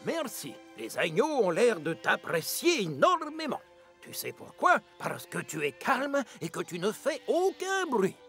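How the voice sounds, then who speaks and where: A man voicing a gruff, excitable sheep farmer in a children's cartoon. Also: high-pitched, a little slow, faint music behind, exaggerated voice. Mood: grateful. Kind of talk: French-language children's cartoon voice acting.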